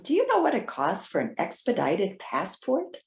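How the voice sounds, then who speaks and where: A woman playing a trusting, excitable elderly widow in readers theater, talking in a chatty, halting, earnest way. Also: medium pitch. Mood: concerned, earnest. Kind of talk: readers theater stage acting. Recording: roomy, echoing sound.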